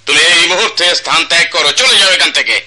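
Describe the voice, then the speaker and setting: A man's voice: a little high, quick pace, bright, forceful tone. A man with a Bangladeshi accent playing a stern, embittered older music master in a radio drama, solemn and declamatory. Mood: stern, angry.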